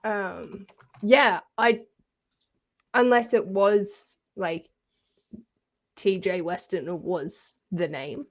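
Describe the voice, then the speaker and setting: A woman co-hosting a book club livestream, chatting casually with animated reactions and frank slang. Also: mid-range voice, drawn-out words, pauses often, muffled recording. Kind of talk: casual chat.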